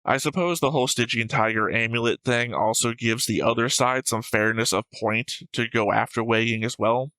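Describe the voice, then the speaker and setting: A man's voice: medium pitch, steady tone, normal speed, hardly any pauses, bright, forceful tone, dry recording, low-quality recording. A man who hosts a reaction show, talking casually and analytically, explaining and arguing his points. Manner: argumentative, explanatory. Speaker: host of an anime reaction show.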